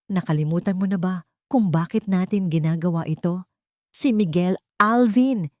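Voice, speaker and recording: A woman's voice: lowish voice, normal speed, mellow, dry recording, studio quality, close to an everyday voice. A woman narrator voicing a scheming, desperate mother in a dramatic audio story, speaking with urgent insistence. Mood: angry, urgent.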